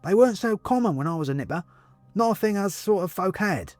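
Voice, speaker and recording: A man's voice: sits high, animated, fast talker, darkish tone, no room sound, soft background music, pitched above the performer's own voice. A man voicing a chatty, old-fashioned china figure in a storybook, folksy and savouring his memories. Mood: reminiscing. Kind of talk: storybook character voice in audiobook.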